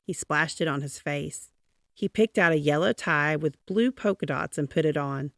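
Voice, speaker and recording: A woman reads a children's storybook aloud in a fairly low voice with some gravel in it. Her delivery is expressive, stretching out important words for drama. The sound is dry and close. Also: no room sound. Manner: dramatic, drawn-out emphasis on key words.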